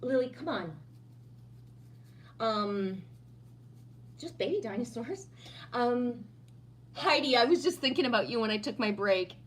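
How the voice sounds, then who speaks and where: A woman's voice: fairly high pitch, expressive, measured pace, pauses often, a little hiss or hum. Playful Texan-born woman in her fifties. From Texas. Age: 50s. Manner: playful teasing, laughs often. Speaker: livestream host reading chat. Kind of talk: casual livestream chat.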